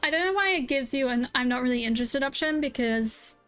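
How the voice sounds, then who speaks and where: A woman's voice: high-pitched, lively intonation, fast talker, fairly bright tone, clean tone, faint music behind. A woman streamer giving casual, jokey reaction commentary over a game and talking to her viewers. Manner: chatty, jokey, talks to viewers. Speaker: gaming streamer.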